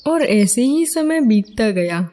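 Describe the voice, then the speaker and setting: A woman's voice: a little high, big pitch swings, takes it slowly, warm tone, a little hiss or hum. A woman narrating a scripted moral story as a storybook narrator.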